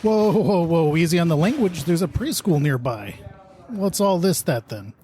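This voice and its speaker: A higher-pitched male investigator, played by an actor in a comedic radio drama, with faint noise behind him. He is a flustered wisecracker who stammers when cornered. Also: expressive, normal speed, warm tone, close to an everyday voice. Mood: mock outraged. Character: jokey, flustered male time-crime investigator.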